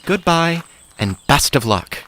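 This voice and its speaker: Actor as aloof immortal uncle, fairly high animated voice, curt, dismissive, comic audio drama. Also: male voice, unhurried, a little hiss or hum, full character voice. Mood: aloof, departing. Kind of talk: stage-style comic audio drama acting.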